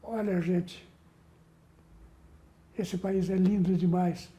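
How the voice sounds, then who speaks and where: Older male guest from southern Brazil, a veteran musician; halting, affectionate delivery, mellow tone. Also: high-pitched, flat delivery. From Rio Grande do Sul, Brazil. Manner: halting, repeats words, affectionate and sentimental. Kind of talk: conversational interview.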